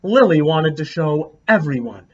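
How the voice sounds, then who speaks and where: A man reading a picture book aloud as a teacher, a lively storyteller who stops to comment on the pictures. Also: fairly high pitch, a little slow, breathy edge, dull audio.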